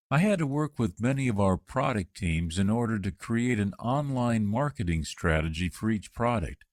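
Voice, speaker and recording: Expressive man reading interview answers. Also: mid-range voice, dry recording, studio quality. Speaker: job candidate in a practice interview. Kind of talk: scripted dialogue for English learners.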